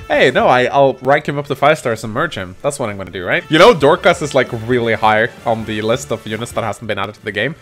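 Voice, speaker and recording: A young man playing a mobile game and chatting casually, excitable, swearing and laughing a lot. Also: mid-range voice, quick pace, few pauses, soft background music. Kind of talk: casual gaming chat.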